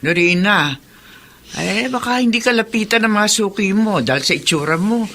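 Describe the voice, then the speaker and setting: An actor playing a chatty, commiserating middle-aged friend and fellow parent in radio drama style. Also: male voice, high-pitched, animated, moderate pace, over music. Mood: concerned, chatty. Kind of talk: Filipino radio drama acting.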